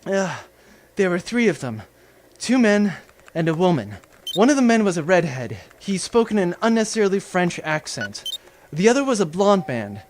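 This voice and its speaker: A man's voice: sits high, big pitch swings, moderate pace, slight background noise. A man playing a nervous young guard in a comic audio drama, flustered and hesitant as he reports. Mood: anxious.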